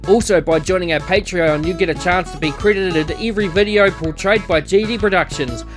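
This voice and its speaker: A man's voice: high-pitched, fairly quick, over music. A man reading scripted narration as the announcer and narrator of a visual novel.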